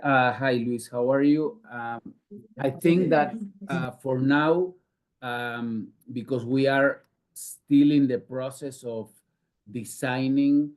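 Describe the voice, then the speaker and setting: A man's voice: mid-range voice, slow, low-quality recording. A man, a company executive, answering an analyst's question hesitantly with many fillers.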